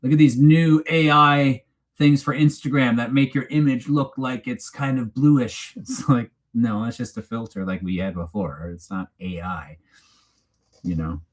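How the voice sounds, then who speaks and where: A man's voice: mid-range voice, steady tone, measured pace. A man with a Canadian accent hosting a casual, unscripted livestream chat in a rambling, teasing, informal way. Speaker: livestream host. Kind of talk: casual unscripted chat, reading live comments.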